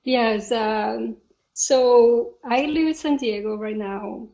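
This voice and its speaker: Slow, halting Brazilian woman. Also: on the high side, creaky, warm tone, dull audio. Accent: Brazilian Portuguese. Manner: halting, pauses often to search for words. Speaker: podcast guest, software engineer. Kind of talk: casual interview talk.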